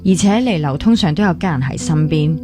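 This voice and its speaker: A caring, troubled young hospital worker in a radio drama. Her voice sits on the low side, with a Hong Kong Cantonese accent and English words dropped in. She talks in an earnest, natural way over clearly audible music. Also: expressive, fairly quick. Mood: regretful. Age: young adult.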